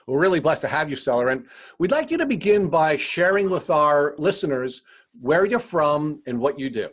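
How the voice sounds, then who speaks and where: A man's voice: fairly high pitch, very animated, moderate pace. A man hosting an interview podcast, reading prepared introductions and putting questions to a guest.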